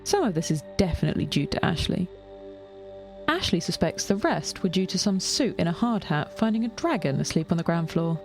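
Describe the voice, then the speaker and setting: Female narrator, scripted fiction, dry wit, measured, gravelly vocal fry, faint background music. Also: fairly low pitch, sing-song, darkish tone.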